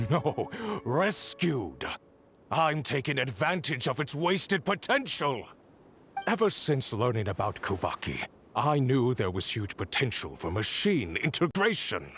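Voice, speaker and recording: Smug, scheming merchant villain. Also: male voice, medium pitch, big pitch swings, unhurried, fairly bright tone. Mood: bitter, indignant. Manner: theatrical, smug, haughty. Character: scheming resentful male merchant villain, arrogant and ambitious. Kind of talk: dramatic video game voice acting. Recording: game music behind.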